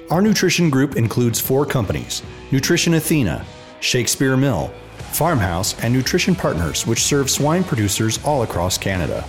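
A man reading scripted promotional narration as an announcer, polished and emphatic. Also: on the low side. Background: music, guitar.

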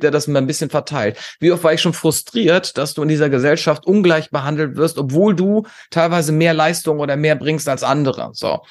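A man from Germany talking as a podcast guest, giving opinions emphatically and outspokenly with rhetorical questions. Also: a little high. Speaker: podcast guest, conference founder. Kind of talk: opinionated interview talk.

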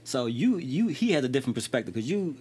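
A man's voice: mid-range voice, big pitch swings, quick pace, a little mellow, slightly rough. A man in his thirties hosting a podcast in casual conversation, often asking "you know what I mean". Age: 30s. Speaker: podcast host.

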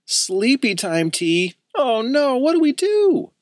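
A man playing a timid storybook boy with a very high, squeaky voice that shoots up when he frets. He hesitates and whimpers, heard dry and up close. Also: sing-song, normal speed, no echo. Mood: panicked. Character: nervous, timid young boy.

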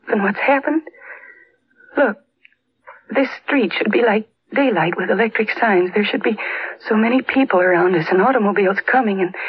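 An actress playing a frightened, earnest young wife in an old-time radio drama, halting and pleading in her delivery. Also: medium pitch, lightly acted. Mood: awed, earnest. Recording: noisy, dull old radio recording.

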